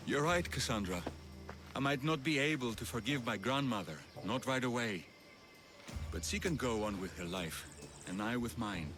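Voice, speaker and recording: A man's voice: medium pitch, lively intonation, normal speed, lightly acted. A man playing an earnest, wounded young healer in a video game cutscene, emotional and hesitant, with music behind him. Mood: resigned.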